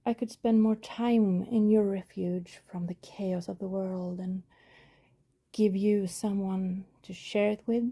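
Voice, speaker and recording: A woman playing a lonely, tender, guilt-ridden vampire neighbor in an intimate ASMR roleplay, speaking softly in a breathy whisper with pauses. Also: mid-range voice, fairly even intonation, no room sound. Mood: wistful, protective. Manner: slow, hushed, intimate, with pauses.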